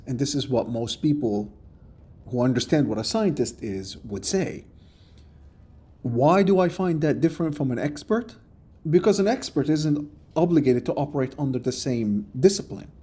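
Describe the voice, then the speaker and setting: A man giving a measured, explanatory commentary for a response video, recorded on a phone. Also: mid-range voice, lively intonation, dark tone, slightly rough.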